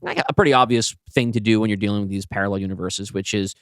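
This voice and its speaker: A man's voice: fairly low pitch, quick pace, a bit bright, clean tone, dry recording, clean studio sound. A middle-aged man co-hosting a podcast, talking through stories in a casual discussion. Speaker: podcast co-host. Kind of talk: casual post-show creative discussion.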